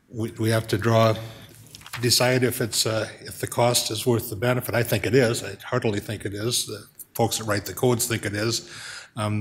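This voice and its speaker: A middle-aged man speaking as a city council member, earnest and thinking aloud with many pauses and fillers, at a desk microphone in a council chamber. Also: lowish voice, fairly bright tone. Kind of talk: council meeting remarks.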